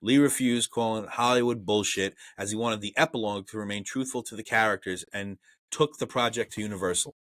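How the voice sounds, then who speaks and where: Blunt Brooklyn man, bright voice. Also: lowish voice, brisk, dry recording. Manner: blunt and profane, reads trivia aloud. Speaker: podcast co-host. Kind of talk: casual movie review chat.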